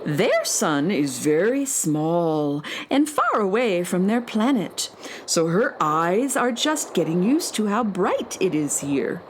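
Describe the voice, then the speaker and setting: Kindly schoolteacher, hugely swooping intonation, slow, patient, children's radio play, music behind. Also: female voice, lowish voice, very animated, slight background noise. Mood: kindly, instructive. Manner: gently instructive. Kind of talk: children's audio drama acting.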